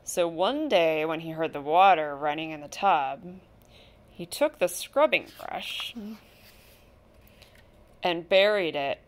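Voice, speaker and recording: A woman reads a picture book aloud for children. Her voice sits a little low for a woman. She tells the story deliberately and expressively, leaning on the key words. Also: animated. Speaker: storybook reader.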